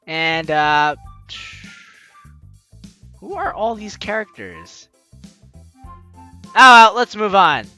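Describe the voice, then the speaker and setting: An excitable, playful let's play host who stammers and interrupts their own reading of game text. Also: mid-range voice, very slow, pauses often, faint music behind. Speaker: gaming video host.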